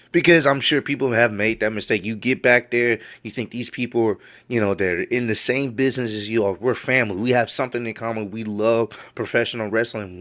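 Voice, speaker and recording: A man's voice: fairly low pitch, fairly bright tone. A man giving casual reaction commentary on wrestling news, laid-back and admiring, often repeating himself.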